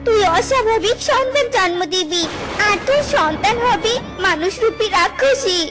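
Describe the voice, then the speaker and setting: A woman voicing a furious, vengeful mother butterfly in a cartoon fairy tale, menacing and drawn-out as she curses. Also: very high-pitched, lively intonation. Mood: furious, cursing. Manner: menacing, drawn-out accusing delivery. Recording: loud music behind the voice.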